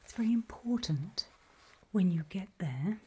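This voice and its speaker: A woman playing a calm, faintly sinister guide in a creepy ASMR roleplay, whispering slowly and deliberately with a breathy voice. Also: mid-range voice. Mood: calm, ominous warning. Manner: slow, deliberate, coolly instructive, softly ominous. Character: calm, faintly sinister female guide briefing people on alien dangers.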